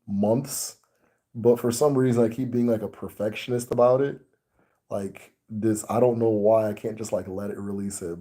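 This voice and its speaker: A man with an American accent, hosting a casual talk to his viewers in a relaxed, rambling way with many fillers. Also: fairly low pitch. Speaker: host. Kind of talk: casual video chat to viewers.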